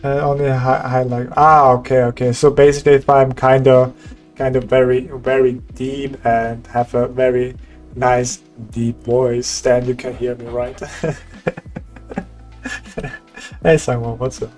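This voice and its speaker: A man's voice: mid-range voice, level tone, a little slow, a little mellow, faint music behind. A young man chatting casually with viewers on a stream, laid-back and laughing often. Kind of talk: casual livestream chat.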